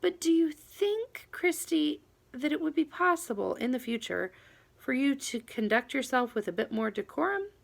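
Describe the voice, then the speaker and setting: Woman as a formal, deliberate male schoolteacher. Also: high-pitched, big pitch swings, creaky, pitched above the performer's own voice, exaggerated voice. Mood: gently admonishing. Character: measured, formal male middle-school teacher. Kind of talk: children's audiobook character voice.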